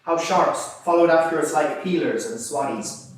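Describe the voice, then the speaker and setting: Man reciting as a boisterous convict sailor, loud, echoey. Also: fairly high pitch, moderate pace, natural voice. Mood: rollicking, wry. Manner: declamatory, rhythmic, rollicking. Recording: distant mic in very echoey room.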